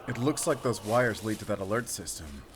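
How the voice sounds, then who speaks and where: A man's voice: fairly low pitch, lively intonation, fairly quick, lightly acted. A man playing a grim, commanding werewolf hunter, speaking tersely in an audio drama. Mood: urgent, wary. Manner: terse, tense, giving orders. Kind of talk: cinematic audio drama acting. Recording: clear background noise.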